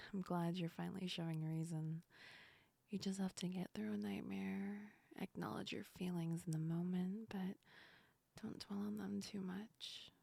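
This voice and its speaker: A gentle female sleep demon acted by a woman whispers close to the mic in an intimate ASMR roleplay. Her voice is mellow and very breathy, with a creaky edge. She soothes and reassures slowly, affectionate and a little teasing. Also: lowish voice, dry recording, exaggerated voice. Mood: soothing, reassuring. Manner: slow, soothing, reassuring, lightly amused. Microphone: close ASMR microphone.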